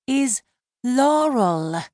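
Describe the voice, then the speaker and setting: Woman doing a mermaid, high lifted voice, indignant, crisp, storybook heroine. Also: expressive, moderate pace, no room sound, studio quality, lightly acted. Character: proud adult mermaid woman, prickly and insistent.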